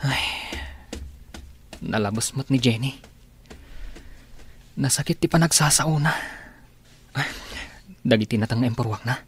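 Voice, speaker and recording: Radio drama role: humble young Ilocano male suitor played by an actor, soft-hearted and pleading, middle-range voice. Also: mid-range voice, a little slow, pauses often, slight background noise, close to an everyday voice. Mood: hurt, dismayed. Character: meek, hurt, lovestruck young male suitor. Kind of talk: Filipino radio drama acting.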